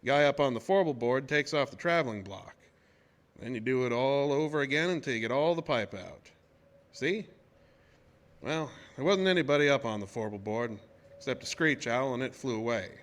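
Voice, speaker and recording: An actor playing a plain-spoken, shaken oil-rig worker who tells his tale in a folksy, halting way in a radio horror drama. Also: medium pitch, expressive, lightly acted. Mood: matter-of-fact. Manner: folksy, colloquial, halting storytelling.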